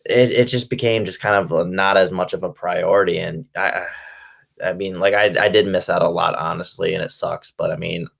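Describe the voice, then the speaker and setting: Man in his twenties, deep voice, vocal fry, casual interview guest, informal filler-heavy phrasing. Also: steady tone, moderate pace, a bit bright. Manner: conversational, peppers speech with "like" and "you know". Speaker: interview guest, wrestling fan and promoter. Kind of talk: casual chat.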